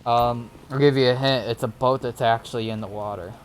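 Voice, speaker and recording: A man's voice: medium pitch, fairly even intonation, a little fast, a little hiss or hum. A man casually guiding newcomers through an online game, explaining step by step and somewhat hesitantly. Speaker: experienced game server player guiding newcomers.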